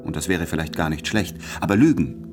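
A troubled, conscientious civil servant in a radio play, with a fairly low voice. He speaks earnestly and deliberately over clearly heard music. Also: animated. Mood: torn, earnest. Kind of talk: literary radio drama monologue.

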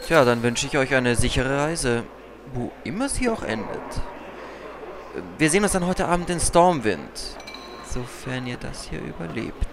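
Man as wary gnome, mid-pitched voice. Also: lively intonation, normal speed, vocal fry, a crowd in the background. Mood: wry farewell. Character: wary, chatty male gnome. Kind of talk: fantasy parody radio play.

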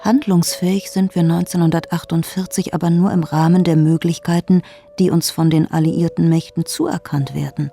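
A sceptical historical commentator. The voice is dark and creaky, delivering matter-of-fact scripted analysis over faint music. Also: medium pitch, steady tone, moderate pace, few pauses. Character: sober, sceptical analyst of post-war German statehood. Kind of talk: scripted radio documentary commentary.

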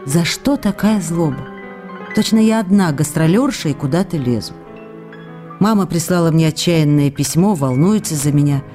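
An actress playing an affectionate, emotional stage actress reading out her letters to her lover in a radio play, expressive and intimate. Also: fairly low pitch, close to an everyday voice. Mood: anxious, affectionate. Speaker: actress in an audio drama.

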